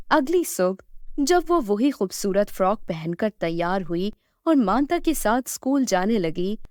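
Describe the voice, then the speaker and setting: A woman's voice: mid-range voice, a little mellow, dry recording. Woman narrating a children's story, dry recording.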